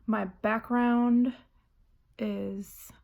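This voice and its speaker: Woman, English speaker, halting with many pauses, monotone, low and unhurried. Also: vocal fry, narrow, tinny audio. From Germany. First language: German. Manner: hesitant, frequent "um" pauses. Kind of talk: spoken reading response.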